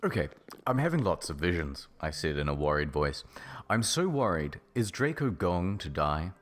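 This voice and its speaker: Low-voiced man as a melodramatic teenage goth girl. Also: big pitch swings, normal speed. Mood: melodramatic, sulky. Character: melodramatic, sulky, foul-mouthed teenage goth girl narrator. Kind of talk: mock-serious dramatic reading of fan fiction.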